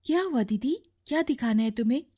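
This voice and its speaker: A woman voices an innocent little girl from a cartoon moral tale. The voice sits high, sweet and gentle, with an affectionate, respectful way of speaking. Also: lively intonation, a little fast, no echo, dull audio. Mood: curious, eager. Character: sweet, innocent little sister, later a forgiving girl ghost. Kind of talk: dramatised cartoon moral story.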